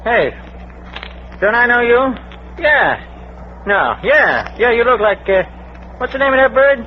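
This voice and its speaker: An actor playing a dim, chatty working-class guard, slangy and rambling, in an old-time radio comedy mystery. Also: male voice, very high-pitched, sing-song, unhurried, full character voice. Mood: curious, chummy. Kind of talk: old-time radio comic character acting. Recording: dull, noisy vintage radio recording.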